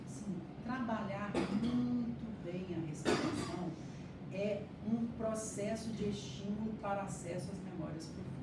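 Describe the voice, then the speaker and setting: A woman giving a classroom lecture, explaining step by step and checking that her students follow. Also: medium pitch. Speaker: lecturer teaching hypnosis and neuroscience. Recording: echoey room, distant mic, audible noise.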